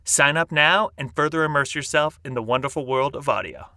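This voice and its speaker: A man's voice: on the high side, brisk, very noisy. A man reading an upbeat scripted sponsor message as a podcast announcer.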